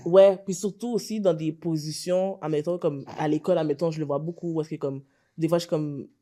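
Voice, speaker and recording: A woman's voice: low voice, fairly even intonation, brisk, warm tone. A woman with a Haitian Creole accent sharing personal experience in a casual, conversational way as a podcast panelist.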